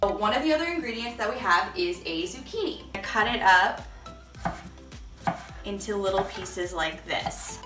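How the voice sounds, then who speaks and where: A woman's voice: medium pitch, animated, measured pace, echoey room, music in the background. A young woman in her home kitchen, walking children through a soup recipe step by step in a friendly, instructing way. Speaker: cooking teacher. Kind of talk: kids' cooking lesson.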